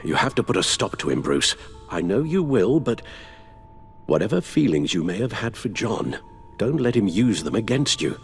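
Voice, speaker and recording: Older British gentleman butler, formal, caring, worried, expressive intonation, video game drama, music behind. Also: on the low side, gravelly. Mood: worried, solemn. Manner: formal, measured, genteel phrasing. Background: background music.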